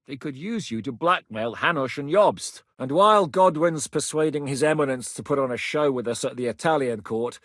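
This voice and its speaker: An actor playing a gruff, blunt, sweary rebel leader in a video game, giving curt orders. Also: male voice, medium pitch, lively intonation, normal speed, hardly any pauses, a bit bright, no echo, studio quality, lightly acted. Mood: commanding. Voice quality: gruff, commanding. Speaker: game character.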